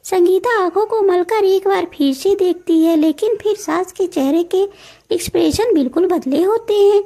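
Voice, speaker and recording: Female cartoon storyteller: extremely high, girlish pitch, soft mellow tone, scripted narration. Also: normal speed.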